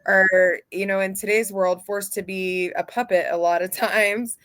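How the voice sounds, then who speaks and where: A woman's voice: mid-range voice, fairly even intonation, measured pace, a bit bright, no echo. A woman hosting a radio interview, chatting in a casual, upbeat way with plenty of fillers. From not from Texas. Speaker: radio show host.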